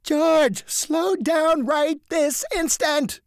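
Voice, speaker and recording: A man voicing a timid, rule-bound male car salesman who pleads and then yells in panic. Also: big pitch swings, moderate pace, no echo, studio quality. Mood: panicked. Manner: pleading, high and strained, rising to yells.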